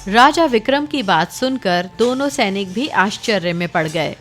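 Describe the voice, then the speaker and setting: A woman narrating a cartoon story in a steady storytelling manner. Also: mid-range voice, moderate pace, soft background music.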